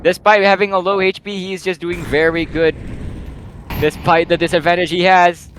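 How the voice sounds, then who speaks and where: Male game caster, high voice, slightly croaky, excitable rambling delivery. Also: slight background noise. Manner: excitable, rambling, filler-heavy play-by-play. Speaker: esports caster. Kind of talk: live play-by-play game commentary.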